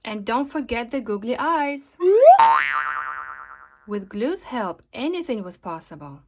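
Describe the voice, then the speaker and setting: Lady reading a kids picture book out loud, high voice, expressive and deliberate storytime narration. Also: faint music behind. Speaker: storybook reader and host. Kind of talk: scripted children's storybook narration.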